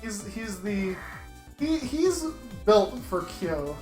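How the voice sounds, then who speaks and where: Male co-host, teasing dry interjections, roomy echo, clear music underneath. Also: high voice, big pitch swings, slow. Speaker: co-host of a visual novel let's play. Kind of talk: casual jokey game commentary.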